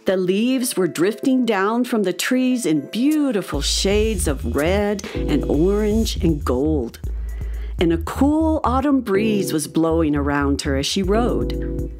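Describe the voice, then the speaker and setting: A woman narrator telling a children's story at an unhurried pace. Her delivery is expressive and very melodic in its rise and fall, with clear plucked music playing behind her. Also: mid-range voice.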